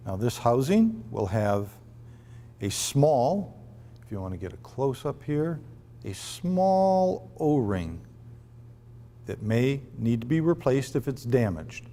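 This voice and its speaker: A man giving a step-by-step instructional demonstration in a deliberate, phrase-by-phrase way with firm instructions. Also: mid-range voice, big pitch swings, dark tone. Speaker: product demonstrator. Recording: long reverberant room echo.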